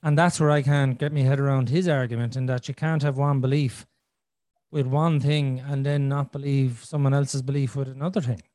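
A man, from Ireland, hosting a podcast and talking in a casual, earnest way, thinking out loud as he goes. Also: medium pitch, fairly even intonation, moderate pace, no room sound. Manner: earnest, thinking aloud with restarts and "you know". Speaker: podcast host. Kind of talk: casual conversational podcast chat.

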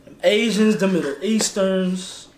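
A man's voice: sits high, a little slow. An American man telling comic stories in casual, slangy banter. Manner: animated, slangy. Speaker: comedic storyteller. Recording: echoey room with clear background noise.

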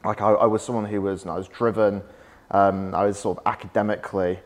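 A young man from West Yorkshire with a softened Yorkshire accent, chatting as a podcast guest and telling stories with plenty of "like" fillers. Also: on the low side, level tone, quick pace. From West Yorkshire, England. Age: young adult. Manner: chatty, storytelling, frequent "like" fillers. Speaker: podcast guest, startup founder. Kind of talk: casual interview chat.

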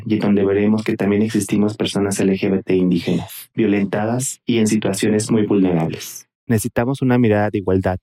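Low-voiced Mixtec-speaking man from Oaxaca. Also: warm tone, dry recording, clean studio sound. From Oaxaca, Mexico. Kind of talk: prepared testimony.

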